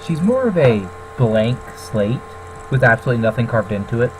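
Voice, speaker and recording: A man with a mid-range voice chats casually as a co-host, making dry and sardonic comments. The home recording has clear noise behind him. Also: mid-range voice, big pitch swings, moderate pace, low-quality recording. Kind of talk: casual mocking banter.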